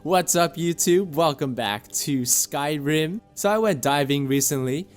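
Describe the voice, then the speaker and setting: A man who makes gaming videos on YouTube, doing casual gameplay commentary and channel talk in an excitable, joking way, with lots of swearing. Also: a little high, unhurried, soft background music.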